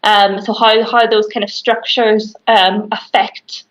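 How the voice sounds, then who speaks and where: Hesitant Northern Irish woman, flat delivery. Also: medium pitch, slow, low-quality recording. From Northern Ireland. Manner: hesitant, many fillers and restarts. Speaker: criminology lecturer, guest. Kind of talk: academic interview answers. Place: small office indoors. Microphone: webcam on video call.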